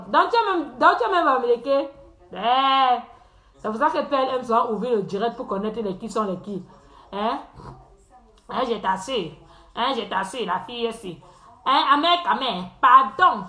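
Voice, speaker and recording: Woman, live-stream host, high mocking voice, echoey room, teasing and laughing. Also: sharp tone. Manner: teasing, laughs often, repeats phrases.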